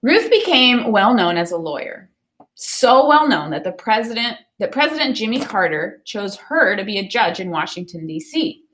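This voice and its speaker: A woman teacher reading a picture book aloud and pausing to explain it, often checking for understanding. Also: medium pitch, big pitch swings, fairly bright tone, a little hiss or hum, rough recording.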